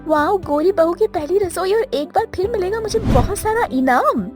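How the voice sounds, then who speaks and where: A woman's voice: very high-pitched, expressive, moderate pace, a little mellow, faint music behind. A woman playing a naive, eager, carefree young daughter or daughter-in-law, girlish and coaxing, in a story cartoon. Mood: delighted. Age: young adult. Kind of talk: Hindi moral story cartoon dialogue.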